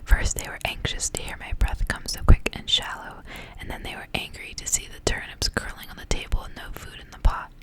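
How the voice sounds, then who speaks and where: A woman reads a story in a hushed whisper, entirely breathy and unvoiced. Her delivery is soft and intimate, in an ASMR style. The phrasing is measured, like a storyteller reading from a book.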